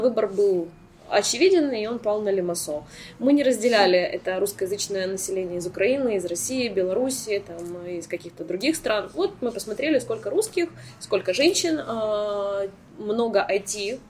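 A middle-aged woman from Ukraine, talking as an interview guest in a lively way, with many hesitation sounds. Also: mid-range voice, moderate pace, a little hiss or hum. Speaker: guest, bar co-founder. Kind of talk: casual interview talk.

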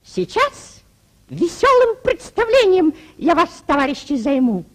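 Swooping high-pitched cartoon show announcer. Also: female voice, wildly swooping pitch, measured pace, heavily acted. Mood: showy, merry.

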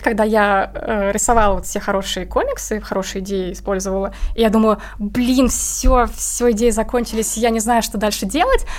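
A woman talking casually and informally as an interview guest, explaining things in a conversational way. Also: mid-range voice. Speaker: interview guest, comic artist.

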